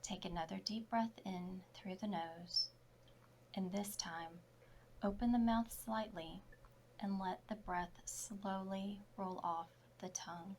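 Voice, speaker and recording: A woman yoga instructor leading a guided relaxation meditation in short, soft, measured phrases. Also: mid-range voice, steady tone, a little hiss or hum, low-quality recording.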